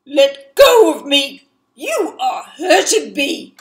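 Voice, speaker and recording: A polite, curious young elephant calf with a raised, earnest voice, played by a woman reading a storybook. He pleads in careful, courteous phrases, drawn out slowly. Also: on the high side, expressive. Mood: pained.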